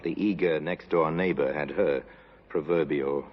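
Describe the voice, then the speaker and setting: A man narrating a 1950s radio crime drama in a grave, measured voice. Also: extremely low pitch, fairly even intonation, a crowd in the background. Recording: dull old radio recording with music.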